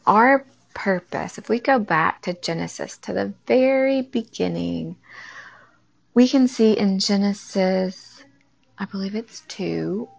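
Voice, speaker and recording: A woman hosting a faith-based talk, speaking conversationally to her listeners with a raspy early-morning voice. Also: mid-range voice, no room sound. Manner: warm conversational, addresses listeners directly. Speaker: podcast host. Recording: dull lo-fi recording.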